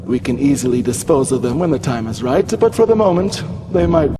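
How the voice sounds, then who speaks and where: An actor playing a scheming, smug aristocratic villain with macabre tastes in a game cutscene. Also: male voice, medium pitch, expressive, brisk, background noise, lightly acted. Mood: smug, sly. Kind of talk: video game cutscene acting.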